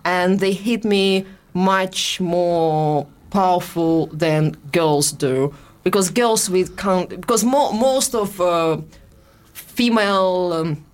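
A young adult woman with a Russian accent speaks in a fairly low voice. She talks in halting, broken English, stopping and restarting her sentences as she chats in an interview. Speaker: guest, boxer and musician. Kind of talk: casual interview chat.

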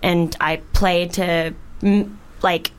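Woman, American accent, from Texas, lowish pitch, chatty guest, storytelling. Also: slow pace, smooth. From Texas, United States. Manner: chatty, animated storyteller with frequent fillers. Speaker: jazz musician guest. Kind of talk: casual podcast chat.

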